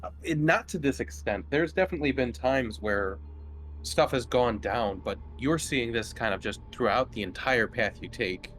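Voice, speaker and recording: A man running a tabletop horror game, narrating casually and haltingly as he feels out each description. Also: medium pitch, a bit bright, slight background noise, rough recording. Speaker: game master.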